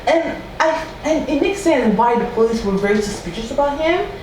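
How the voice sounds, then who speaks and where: A woman's voice: sits high, a little fast. Chatty woman in an echoey room. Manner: chatty, animated, quoting people in raised voice. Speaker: podcast host. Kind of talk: casual true-crime storytelling. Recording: echoey room from far off with faint noise.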